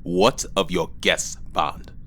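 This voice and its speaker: A man's voice: sits low, fairly even intonation, takes it slowly, fairly bright tone, a little hiss or hum. An actor playing a menacing, imperious ruler in an audio drama, drawling his words. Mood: sardonic. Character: menacing male tyrant, imperious Grand Consul.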